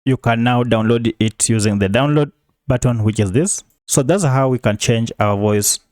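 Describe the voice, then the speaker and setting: A man's voice: medium pitch, moderate pace, darkish tone, dry recording. A man narrates a screencast tutorial in a mid-range voice. He explains each step plainly, as an instructor would, on a close, dry recording. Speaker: software tutorial presenter.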